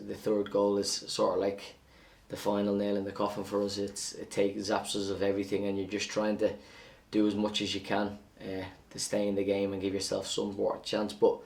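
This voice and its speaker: Male football coach, low gravelly voice, matter-of-fact post-match reaction, slightly off-mic. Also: recorded from a distance.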